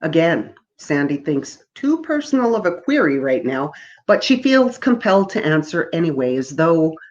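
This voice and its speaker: Casting: female author from Canada, low register, storytelling with casual asides, slightly dull recording. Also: very animated, moderate pace, darkish tone. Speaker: author reading from own novel. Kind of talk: storytelling reading with informal asides.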